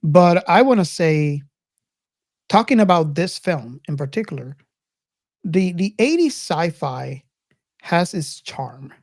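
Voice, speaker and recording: Low-pitched woman podcaster, reflective and halting. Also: expressive, darkish tone, no room sound, studio quality. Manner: reflective, halting, circles back over phrases. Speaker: podcast host. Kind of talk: casual film discussion.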